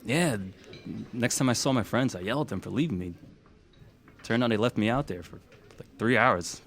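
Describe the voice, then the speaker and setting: An actor playing an uneasy man recounting a frightening memory in a plain, halting way, in a naturalistic audio drama. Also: mid-range voice, fairly bright tone, slight background noise, lightly acted. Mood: subdued, resentful.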